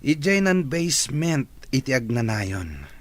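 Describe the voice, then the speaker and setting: A man's voice: medium pitch, big pitch swings, unhurried, no room sound. An actor playing a gloating, callous male accomplice in a comedic radio drama.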